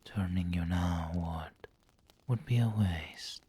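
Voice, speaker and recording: A man performs a refined, seductive male vampire lord in an intimate ASMR roleplay. The voice is deep and extremely breathy, mostly whispered. He speaks very slowly, with formal, doting courtesy. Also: warm tone, exaggerated voice. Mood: indulgent, teasing. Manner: slow, intimate, formal wording.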